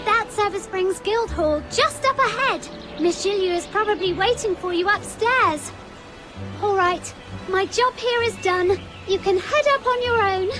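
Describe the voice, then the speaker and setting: A woman's voice: very high-pitched, measured pace, music in the background. A woman voicing a perky, playful little female guide and merchant in a video game, bubbly and exclamatory. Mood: cheerful. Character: perky small high-pitched female guide and stall merchant. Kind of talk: video game character acting.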